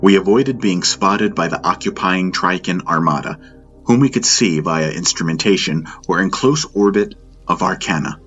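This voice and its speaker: A man with a very deep voice narrates a first-person space story. The sound is a little muffled, and music plays loudly behind him throughout. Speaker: audiobook narrator. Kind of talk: scripted first-person sci-fi audio drama narration. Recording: dull muffled sound under loud music. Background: loud music.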